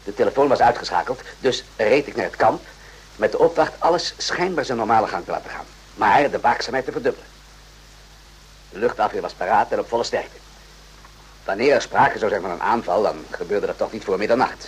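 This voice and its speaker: A man playing a composed military officer who tells his story deliberately in a radio drama. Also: on the low side, lightly acted. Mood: composed, explaining. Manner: measured, deliberate explaining. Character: composed, methodical military officer recounting a spy affair. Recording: faintly noisy, humming old radio recording.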